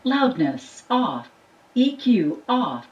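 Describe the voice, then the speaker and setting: Distant automated woman's voice prompt, loud music. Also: a little high, very animated, drawn-out words, highs cut off. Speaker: voice prompt of a car radio. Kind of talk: automated short setting announcements. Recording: distant device speaker under loud music.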